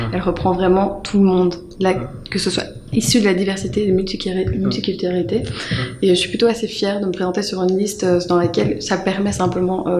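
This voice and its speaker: Flat-toned young woman candidate. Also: lowish voice. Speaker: local election candidate. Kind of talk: political panel discussion. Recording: echoey studio with faint noise.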